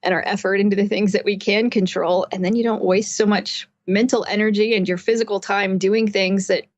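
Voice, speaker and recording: A woman's voice: medium pitch, fairly even intonation, brisk, dry recording. Woman expert guest on a podcast interview, explains stuff w everyday examples, dry kinda muffled audio. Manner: explanatory, uses everyday examples and imagined quotes. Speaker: guest expert, psychotherapist and author. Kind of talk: conversational interview advice.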